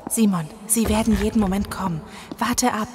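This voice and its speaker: A woman playing a worried, cautious zombie-apocalypse survivor in a German audio drama, breathy and anxious. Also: medium pitch, moderate pace, lightly acted. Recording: dramatic music under the voice.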